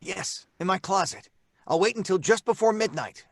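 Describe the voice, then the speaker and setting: A man's voice: high-pitched, normal speed, creaky, no echo, studio quality. A man playing an earnest, boyish American teenage son in an old-time radio drama. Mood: eager. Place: small treated recording booth. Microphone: close studio microphone.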